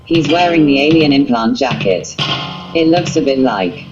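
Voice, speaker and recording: A woman reading a scripted story as narrator in a flat, literal, synthetic manner. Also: a bass voice, moderate pace, muffled recording. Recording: music under the voice. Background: guitar music.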